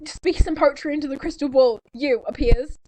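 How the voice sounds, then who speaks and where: A woman's voice: high-pitched, moderate pace, background noise. A teenage girl in casual, giggly chat, rambling and tossing sarcastic asides as she reads and comments on a story. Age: teens. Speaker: co-host of a fanfiction reading. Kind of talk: casual chat with mocking read-aloud commentary.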